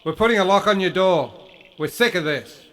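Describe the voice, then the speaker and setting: A man's voice: high-pitched, animated, a little fast, a bit bright, long reverb tail. An actor in a radio play playing a stern, exasperated family man with a curt, scolding manner. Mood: fed up.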